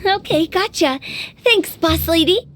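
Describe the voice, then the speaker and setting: Cheeky young funeral director for a game, really high voice, showy and playful. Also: female voice, animated, unhurried, soft background music. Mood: breezy. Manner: playful, theatrical. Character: Hu Tao, playful young woman funeral director, mischievous and boastful. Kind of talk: video game voice acting.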